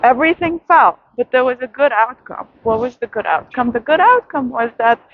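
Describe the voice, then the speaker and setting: A woman vlogger giving a soft, reflective late-night monologue outdoors in a city, with many pauses and fillers. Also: high voice, expressive, highs cut off.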